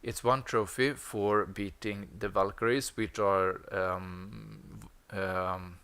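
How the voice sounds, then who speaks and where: Lowish man explaining a game, hesitant. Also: fairly even intonation, slow, gravelly, fairly bright tone, smooth. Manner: hesitant, many ums and uhs. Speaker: streamer. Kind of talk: casual gameplay commentary.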